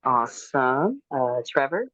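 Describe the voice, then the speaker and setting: A woman's voice: rumbling low, sing-song, slow, fairly bright tone, no echo, highs cut off. A woman hosting a dating show, calling on guests one by one with short cheerful acknowledgements.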